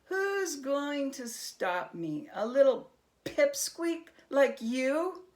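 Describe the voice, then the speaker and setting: A woman voicing a brave, clever man in a children's storybook, with a bold, deliberate, challenging manner. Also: high-pitched, big pitch swings, a little mellow, voice pushed up, full character voice. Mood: calm, challenging. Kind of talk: acted children's storybook reading.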